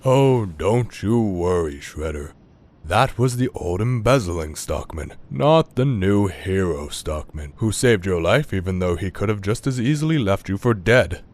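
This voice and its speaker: A man's voice: low voice, expressive, a little slow, darkish tone. A man playing a smug, scheming scientist businessman villain, speaking in a suave, wordy, theatrical and mocking way. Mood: smug, teasing. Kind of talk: audio drama villain acting.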